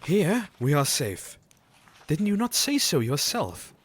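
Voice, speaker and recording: A brisk, dependable gentleman in a gothic audio drama. His voice sits a little higher, with animated intonation. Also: husky, heavily acted. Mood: reassuring. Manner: reassuring, urgent in crisis.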